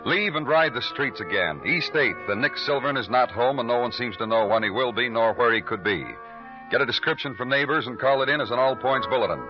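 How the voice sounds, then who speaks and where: An actor playing a world-weary big-city police detective in an old radio crime drama, terse and brooding. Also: male voice, mid-range voice, fairly quick, natural voice. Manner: terse, brooding, poetic narration and blunt questioning. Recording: dull old radio recording with music.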